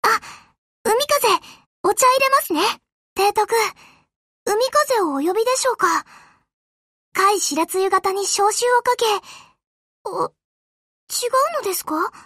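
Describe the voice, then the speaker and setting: An actress voicing a gentle, courteous young warship girl who serves her admiral in an anime game, with a deferential, polite manner. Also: very high voice, animated, takes it slowly, pauses often, no room sound, studio quality, full character voice. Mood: eager, courteous. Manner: soft-spoken, courteous, deferential. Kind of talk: Japanese anime game voice acting.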